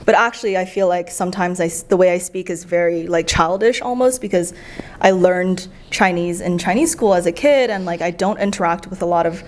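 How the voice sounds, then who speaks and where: A woman from the United States, a guest in a casual conversation, chatty and animated as she tells her experiences. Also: lowish voice, a little fast. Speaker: guest, traveler.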